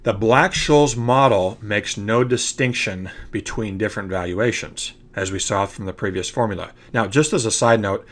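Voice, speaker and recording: A man's voice: fairly low pitch, moderate pace, few pauses. A man with a congested voice from allergies, explaining trading ideas over slides and often checking in with "okay?". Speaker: trading educator. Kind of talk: screen-recorded slide lecture.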